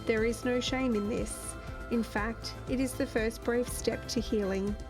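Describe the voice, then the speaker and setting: A woman hosting a podcast, reading a calm, supportive intro and then interviewing a guest. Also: a little high, a little slow, over music. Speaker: podcast host.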